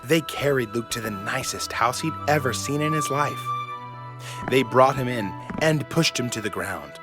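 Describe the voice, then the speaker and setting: A man's voice: medium pitch, lively intonation, moderate pace. A man narrating a scripted story in a dramatic, suspenseful way. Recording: loud music behind the voice.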